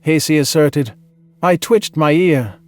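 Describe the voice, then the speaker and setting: A man reading a dramatized science fiction story in the first person, earnest and measured with a dramatic delivery. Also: a little high, a little mellow, dry recording. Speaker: audiobook narrator.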